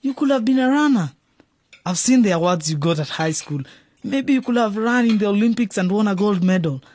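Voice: mid-range voice, expressive, brisk, a little mellow, lightly acted. An actor playing an eager, respectful fourteen-year-old boy in a radio drama, speaking earnestly. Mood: curious, eager. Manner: earnest, pleading.

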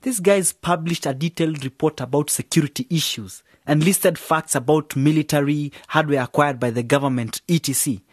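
A man's voice: fairly high pitch, normal speed, hardly any pauses, no room sound, clean studio sound, lightly acted. A man playing an earnest young member of a youth project in an educational radio drama. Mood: serious.

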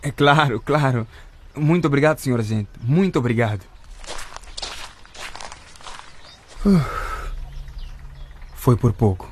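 An actor playing a friendly, crafty truck driver in a radio drama, speaking politely and placatingly. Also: male voice, medium pitch, normal speed, darkish tone, slight background noise, lightly acted. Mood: obliging.

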